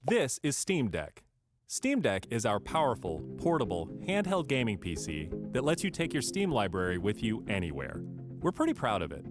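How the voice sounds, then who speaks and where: Male trailer announcer, low voice, very expressive pitch, music clearly behind. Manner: calm, friendly presenter. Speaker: product announcer. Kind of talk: scripted product announcement.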